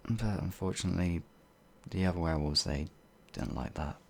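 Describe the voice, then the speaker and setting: A gentle, bumbling werewolf played by a man in an ASMR audio roleplay. His voice is very deep, with a gravelly crackle and a husky breathiness. He speaks timidly and haltingly, often sinking toward a whisper. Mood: meek, resigned. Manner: hesitant, timid, halting, with sighs and trailing phrases. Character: shy, gentle, bumbling pacifist male werewolf, older than he looks.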